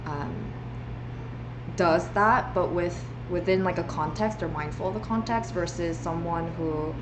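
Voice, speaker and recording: Young woman vlogger, slightly low, earnest rambling talk, noisy home recording. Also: measured pace. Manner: earnest, rambling, many restarts and fillers. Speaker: livestreamer. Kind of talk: reflective livestream monologue. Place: home room.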